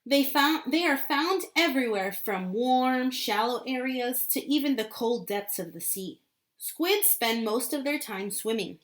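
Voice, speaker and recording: Lady teacher doing a read-aloud for kids, slightly high voice, repeats stuff to explain it. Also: a bit bright. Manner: repeats and rephrases key points for children. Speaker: classroom teacher reading aloud. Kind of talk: read-aloud lesson with simple explanatory asides.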